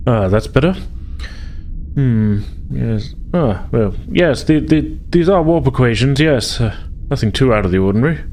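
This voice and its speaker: A man's voice: medium pitch, lively intonation, moderate pace, a little mellow, a little hiss or hum, lightly acted. A man voicing an absent-minded, breezy starship engineer who hems, haws and muses hesitantly. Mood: musing. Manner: hesitant, musing, hemming and hawing.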